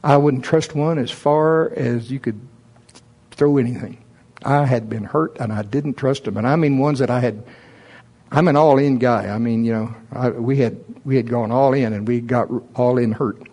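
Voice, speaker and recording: A middle-aged man giving a folksy, self-deprecating testimony as a preacher, telling personal stories in an animated way. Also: medium pitch, normal speed. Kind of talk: sermon, personal storytelling.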